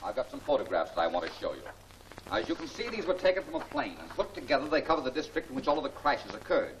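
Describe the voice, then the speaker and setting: Male actor as gentleman amateur detective, fast talker, smooth, modest, 1940s serial acting, distant hissy old film recording. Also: medium pitch, sing-song, natural voice. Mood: composed, courteous.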